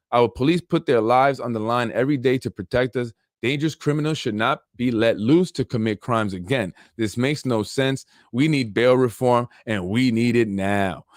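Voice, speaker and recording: A man talks politics in a loose, rambling way, chuckling through his asides and restarting his sentences. His voice sits at a middle pitch and is recorded close and dry, with no room sound. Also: no echo. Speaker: podcast host. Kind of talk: casual political commentary reading an article aloud.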